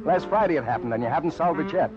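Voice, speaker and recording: An actor playing a sardonic, sentimental nightclub boss in a 1950s radio crime drama, wry and needling. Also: male voice, fairly high pitch, expressive, racing, close to an everyday voice. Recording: dull old radio recording, music behind.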